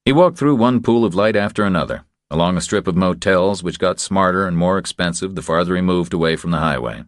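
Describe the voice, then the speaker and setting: A man's voice: deep voice, brisk, no echo. A man reading scripted crime fiction as an audiobook narrator, close on the microphone.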